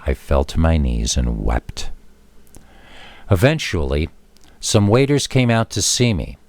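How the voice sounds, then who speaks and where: A man's voice: extremely low pitch, big pitch swings, a little slow, a little mellow, no echo. A man reading a scripted first-person scary story as a narrator.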